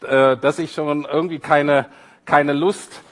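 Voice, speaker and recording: A man preaching in church, his voice a little hoarse from a cold, talking in a relaxed way with many fillers. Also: a little high, a little slow, long reverb tail. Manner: informal, self-deprecating, with many fillers. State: has a cold, voice a bit husky. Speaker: pastor. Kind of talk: prayer and sermon.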